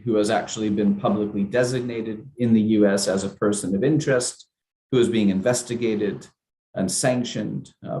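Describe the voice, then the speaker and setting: A man giving a public lecture in a measured, explanatory way, pausing on fillers as he builds his points. His voice sits fairly low, and the room around him is echoey. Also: a little mellow.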